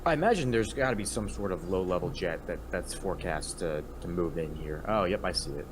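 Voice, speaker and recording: Deep-voiced young man, noisy line. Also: brisk. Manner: relaxed, talks through weather maps with fillers. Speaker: podcast co-host. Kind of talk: casual chat. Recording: noticeable background noise.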